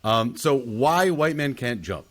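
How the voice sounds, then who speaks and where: A jokey man co-hosts a podcast in casual conversation. His voice sits at a medium pitch and swings up and down with very animated intonation. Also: slow pace. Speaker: podcast co-host. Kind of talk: casual chat.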